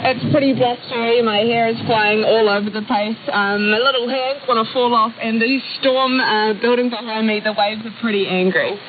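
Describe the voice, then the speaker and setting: A woman's voice: on the high side, steady tone, moderate pace, hardly any pauses. A woman with a New Zealand accent giving a slightly informal live news report from the field. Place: outdoors on a windy coast at evening. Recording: tinny shortwave radio, clear static noise.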